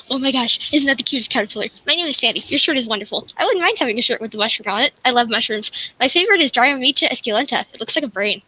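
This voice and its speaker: A woman's voice: high voice, expressive, fast talker, hardly any pauses, sharp tone, husky, low-quality recording. A teenage girl reading a picture book and its dialogue aloud over a video call.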